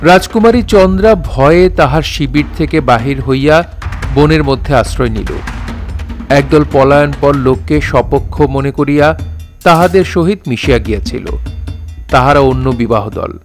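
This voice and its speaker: A man's voice: mid-range voice, normal speed, faint music behind. A man narrating an audio drama in a formal, literary storytelling manner.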